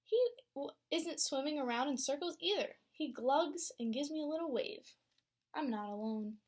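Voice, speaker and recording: Young female student teacher, expressive picture-book reader, slightly high voice. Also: normal speed. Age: young adult. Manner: expressive storytelling with teacher questions. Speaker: student teacher reading aloud. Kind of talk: picture book read-aloud to a class.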